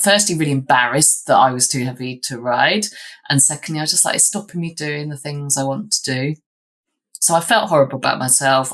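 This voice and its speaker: A woman's voice: a bass voice, a bit bright. A woman, telling her story as an interview guest, chatty with frequent ums and restarts. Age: 50s. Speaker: guest, lawyer. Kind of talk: candid interview answers.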